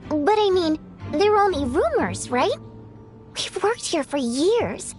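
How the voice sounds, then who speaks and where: Brisk young theater worker, high and animated, plain-spoken and casual, game scene with faint music. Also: female voice, exaggerated voice. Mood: doubtful. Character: brisk, outspoken young woman working at a theater. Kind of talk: video game voice acting.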